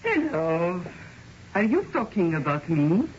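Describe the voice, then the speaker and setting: An actress playing a self-assured, theatrical psychic in an old-time radio drama. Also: female voice, on the low side, sing-song, slow pace, a little mellow. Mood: poised. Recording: dull, hissy old radio recording.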